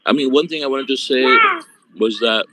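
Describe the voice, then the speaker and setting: A man's voice: mid-range voice, moderate pace, low-quality recording. A man hosting an online study group, talking casually and hesitantly over a video call. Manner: casual, hesitant, many fillers.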